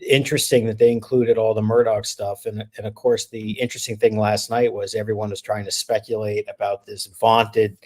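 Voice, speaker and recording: A man who is an attorney, a guest chatting casually in a relaxed, wry way with many fillers. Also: fairly low pitch, a little fast, few pauses. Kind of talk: casual chat.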